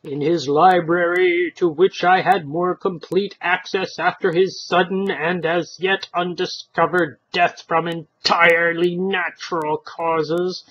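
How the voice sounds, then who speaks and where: A woman's voice: on the low side, fairly even intonation, slow pace, few pauses, muffled recording. A woman voicing a coldly menacing male android who lectures formally and pompously in a storybook reading. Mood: lecturing.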